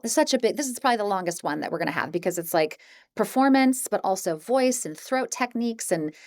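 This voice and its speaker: A woman's voice: mid-range voice, no echo, studio quality. A woman presenting a course in a casual, conversational style, with an informal, encouraging and self-deprecating manner. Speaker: audiobook narrator teaching an introductory course.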